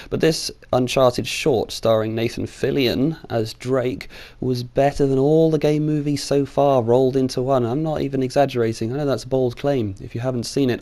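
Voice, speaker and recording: A British man presents scripted opinion commentary as a video host. His delivery is informal and sardonic, opinionated and blunt, in a mid-pitched voice. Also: creaky, darkish tone.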